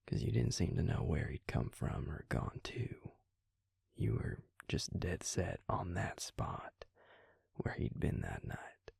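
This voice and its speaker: Man playing a hushed, gravelly Southern vampire confidant. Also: lively intonation, no echo, in a whisper, studio quality, heavily acted. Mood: careful, curious. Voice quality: breathy, husky, gravelly low voice. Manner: hushed, halting, thoughtful, intimate delivery. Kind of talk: intimate audio roleplay addressed to the listener. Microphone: close mic, dry sound.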